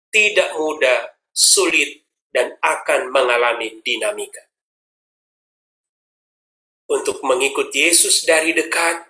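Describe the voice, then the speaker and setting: Preacher, man; high, bright voice; emphatic storyteller in an echoey church. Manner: storytelling, emphatic, rhetorical questions. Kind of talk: sermon. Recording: roomy, echoey hall.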